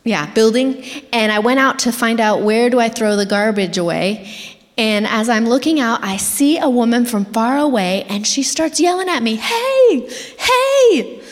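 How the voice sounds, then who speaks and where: A woman's voice: fairly high pitch, moderate pace. Woman preaching in a reverberant hall. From Argentina. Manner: earnest, conversational, asks the audience questions. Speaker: guest speaker. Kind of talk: sermon storytelling. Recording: long church hall reverb.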